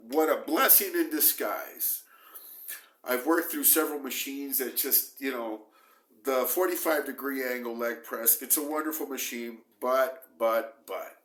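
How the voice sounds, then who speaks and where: A middle-aged man vlogging to the camera about his training, hoarse from a cold and talking in emphatic, repetitive bursts. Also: mid-range voice, a bit bright. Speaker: bodybuilding vlogger. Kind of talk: casual talk to camera. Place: small dim room indoors. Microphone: camera close at face, moving. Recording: roomy echo.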